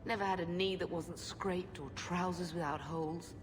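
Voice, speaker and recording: A woman's voice: fairly low pitch, steady tone, normal speed, natural voice. An actress playing a wistful middle-aged mother in a fantasy video game, speaking with tender sorrow. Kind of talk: video game cutscene acting. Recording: music behind the voice.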